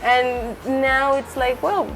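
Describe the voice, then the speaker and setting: Chatty woman from Mexico City, higher voice. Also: steady tone, slow, fairly bright tone, soft background music, low-quality recording. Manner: chatty, explains with lists and asides. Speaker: real estate agent. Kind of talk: casual conversational interview.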